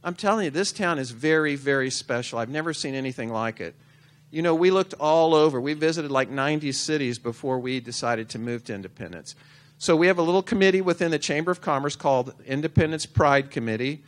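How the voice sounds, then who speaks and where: A man with a fairly high-pitched voice talks at a community breakfast meeting. He is chatty and anecdotal. He leans on repeated words for emphasis. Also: expressive, a little fast. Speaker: community speaker. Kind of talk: casual community talk.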